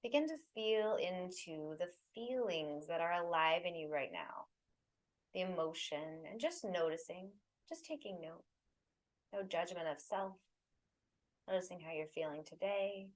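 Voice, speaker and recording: Woman leading guided meditation, slightly low voice. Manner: soothing, unhurried instruction in short phrases with pauses.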